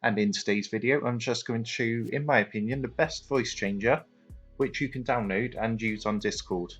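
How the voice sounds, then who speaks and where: A man's voice: medium pitch, moderate pace, fairly bright tone, husky. A man presenting a step-by-step tutorial in an instructional narration style. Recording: music playing behind the voice. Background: music.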